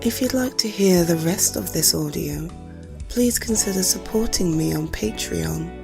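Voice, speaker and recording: A woman with a breathy voice reading a soft scripted promotional outro for her audio work. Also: on the low side, expressive, unhurried, music in the background.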